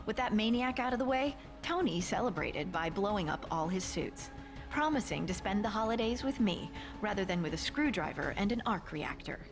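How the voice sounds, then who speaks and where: Woman voicing superhero's sardonic girlfriend, game narration, wry asides, mid-pitched, music behind. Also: close to an everyday voice. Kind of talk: scripted narration.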